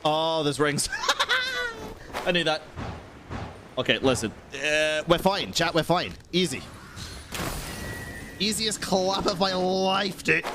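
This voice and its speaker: A man doing casual live gaming commentary as a streamer, expressive, slangy and sweary. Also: on the high side, takes it slowly, pauses often, background noise. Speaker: game streamer. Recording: game music behind the voice.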